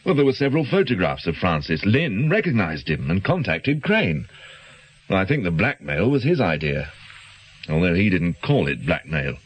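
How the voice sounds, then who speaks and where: An actor playing an anxious, guilt-ridden doctor in a radio drama, speaking in hesitant, defensive, educated phrases. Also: male voice, deep voice, big pitch swings, moderate pace, husky, heavily acted. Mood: uneasy, confessional. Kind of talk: naturalistic radio drama acting.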